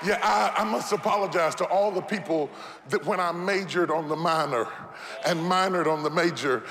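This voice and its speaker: A man in his fifties preaching an impassioned sermon in a church, repeating phrases and building to shouted peaks. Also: on the high side, normal speed, noisy background. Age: 50s. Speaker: pastor. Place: church sanctuary. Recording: long reverb of a large hall.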